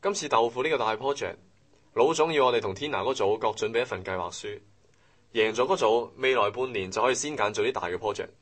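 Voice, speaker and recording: A man's voice: mid-range voice, quick pace, fairly bright tone, slightly rough. A man acting a proud, jealous young office worker in a Cantonese radio drama, with a Hong Kong accent and a grumbling, boastful way of talking. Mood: firm, businesslike.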